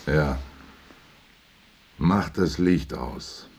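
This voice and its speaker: An actor in a German radio drama playing a proud, surly factory worker husband who talks in terse, defensive bursts. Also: male voice, rumbling low, very slow, slight background noise, heavily acted. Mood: curt, dismissive.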